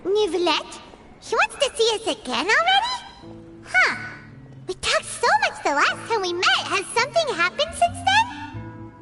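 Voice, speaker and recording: A woman voicing a tiny, chatty, excitable childlike fairy companion in a video game, with a squeaky voice. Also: measured pace, loud music behind the voice, full character voice. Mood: puzzled. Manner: speaks of herself in third person, expressive.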